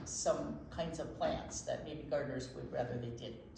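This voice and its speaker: A woman's voice: rumbling low, normal speed, creaky, background noise. An older woman chatting casually and playfully to young children as a storytime teacher. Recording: echoey room.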